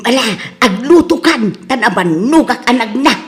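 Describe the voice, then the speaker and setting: A woman's voice: fairly high pitch, expressive, normal speed, natural voice. A woman with an Ilocano accent playing a bossy, teasing wife in a comedy drama. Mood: bossy, amused. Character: bossy wife home from a feast, teasing her husband. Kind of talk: Ilocano comedy radio-style drama acting.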